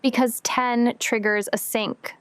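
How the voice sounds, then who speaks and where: A woman's voice: on the high side, fairly even intonation, slow pace, clean tone, dry recording. An actress playing a laconic young woman hacker who speaks in terse, cryptic statements. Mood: deadpan. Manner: terse, cryptic, matter-of-fact. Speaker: hacker in a group of conspiracy theorists. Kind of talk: audio drama acting.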